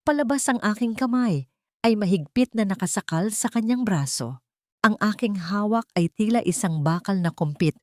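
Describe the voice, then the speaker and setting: A woman's voice: mid-range voice, a little mellow, dry recording, studio quality. A woman reading a dramatic first-person story as a scripted narrator.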